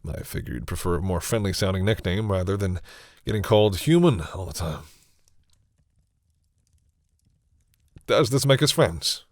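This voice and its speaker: A man playing a proud vampire captor who is awkwardly softening, speaking hesitantly and condescendingly to the listener in an intimate audio roleplay. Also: sits low, lively intonation, fairly quick, dry recording, clean studio sound. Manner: hesitant, sighing, wryly condescending toward humans.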